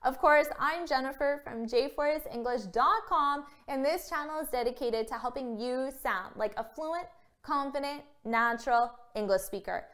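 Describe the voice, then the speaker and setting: A woman in her thirties giving a scripted English vocabulary lesson to camera, speaking clearly and carefully and acting out example phrases. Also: high-pitched, normal speed, fairly bright tone. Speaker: English teacher. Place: small indoor room against a plain wall. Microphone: camera framed at chest height.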